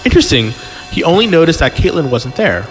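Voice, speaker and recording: A man reading a story aloud as a podcast host, giggly and excitable, often breaking off into casual joking asides. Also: on the high side, fast. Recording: music behind the voice.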